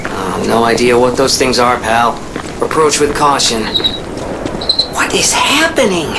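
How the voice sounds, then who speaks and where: A man's voice: medium pitch, very animated, a little slow, very noisy. A man voicing a chirpy, talkative little mechanical guide companion in a video game, chatty and hammy like a showman. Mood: uneasy, puzzled.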